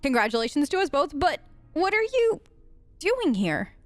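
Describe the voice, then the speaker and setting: A woman's voice: high voice, very animated, normal speed, no room sound. A woman hosting a role-play let's play, improvising her character's lines in casual, earnest, informal talk.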